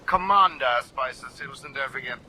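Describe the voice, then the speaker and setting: An actor playing a curt, self-important naval commander in a radio drama. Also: male voice, medium pitch, normal speed, noisy background, natural voice. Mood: imperious. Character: imperious British naval commander making a call.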